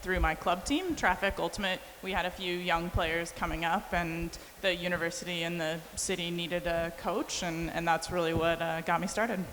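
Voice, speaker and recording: A woman's voice: lowish voice, steady tone, normal speed, few pauses, fairly bright tone. A woman from Canada who coaches a university team, speaking steadily and articulately on a panel. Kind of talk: panel discussion. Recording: reverberant hall with faint noise.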